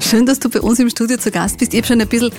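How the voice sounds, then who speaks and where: A woman's voice: fairly high pitch, steady tone, rapid-fire, a little mellow, soft background music. A woman with an Austrian accent, hosting a conversational interview in a radio studio. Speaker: radio host interviewing a musician.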